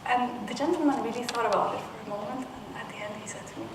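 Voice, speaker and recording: A woman from Peru speaks to a conference audience. She is thoughtful and often restarts her sentences. She is heard from a distance in a roomy hall, with clear background noise. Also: on the high side, fast. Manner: thoughtful, with frequent restarts and soft laughs. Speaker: panel speaker. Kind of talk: conference talk. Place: conference room.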